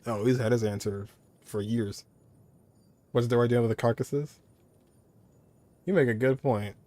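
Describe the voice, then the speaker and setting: A man's voice: medium pitch, unhurried, mellow. A man streaming a video game, giving casual, offhand commentary in short reactions and musings.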